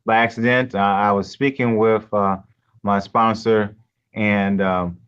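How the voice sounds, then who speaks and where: Halting man coach, muffled sound. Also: fairly low pitch, fairly even intonation, a bit bright, clean tone. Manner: halting, many fillers and restarts, laughs freely. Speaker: coach and host. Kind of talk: casual live-stream introduction.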